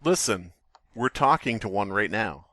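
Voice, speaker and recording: Animated, sweary male podcaster. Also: fairly low pitch, a little slow, no room sound, studio quality. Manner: sweary, joking. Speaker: podcast co-host. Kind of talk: casual comedic banter about horror stories read aloud.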